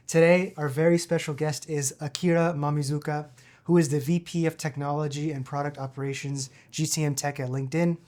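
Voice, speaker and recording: A young man with a fairly high voice hosts the podcast. He reads his introduction and asks questions in an upbeat, effusive way, recorded in an office studio. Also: a little mellow. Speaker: podcast host. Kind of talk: scripted intro and interview questions.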